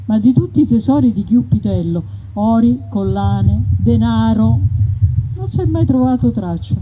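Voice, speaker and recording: A woman's voice: a little high, expressive, moderate pace, noisy background. A woman narrating a theatrical story in a lilting, dramatic way. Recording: dull radio broadcast with music.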